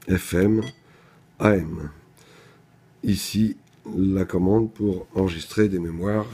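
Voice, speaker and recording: A man's voice: sits low, a little mellow, breathy edge. A man presenting a product in an unscripted technical demonstration, explaining things methodically, step by step.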